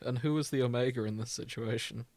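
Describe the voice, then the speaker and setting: A man's voice: mid-range voice, fast talker, darkish tone, dry recording. A young man co-hosting a podcast in casual banter, with a wry, teasing manner. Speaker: podcast co-host.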